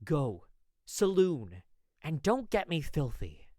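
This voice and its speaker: Western audio story: male actor as exasperated younger man, higher-pitched, emphatic, scolding. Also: very animated, slow pace, warm tone, dry recording, clean studio sound. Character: exasperated, hot-tempered younger man in the Old West. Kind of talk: audio story character acting.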